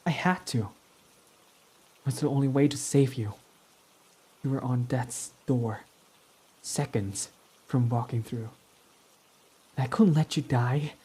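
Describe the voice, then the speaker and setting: A man's voice: mid-range voice, slow pace, long pauses, dark tone, slightly rough. A man voicing a proud, defensive young male villain in an ASMR roleplay who swears when flustered, over faint rain. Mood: heated, defensive. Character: proud tsundere young male villain, gruff but smitten.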